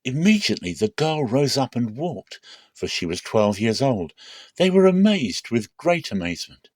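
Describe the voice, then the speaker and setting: A man reading scripture aloud as a solemn, expressive dramatic reading. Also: mid-range voice, moderate pace, no room sound, clean studio sound. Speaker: Bible reader.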